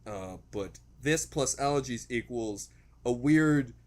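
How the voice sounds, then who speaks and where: A man hosts a casual reaction video, his pitch swinging widely as he talks. He rambles in a jokey, crude way and plays up his dread with theatrical exaggeration. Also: lively intonation, takes it slowly, darkish tone.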